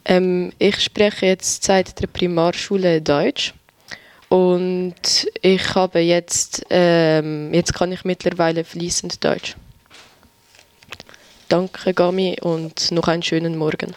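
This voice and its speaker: A male student giving a steady, recited classroom presentation about learning languages. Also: high voice, slow pace, clean tone, no room sound. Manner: steady, even, recited delivery. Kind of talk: classroom presentation, read aloud.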